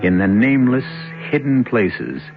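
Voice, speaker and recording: An American man hosting and narrating a 1970s radio mystery series, theatrical and deliberate, with dramatic pauses. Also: mid-range voice, lively intonation, faint music behind. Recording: tinny vintage radio broadcast.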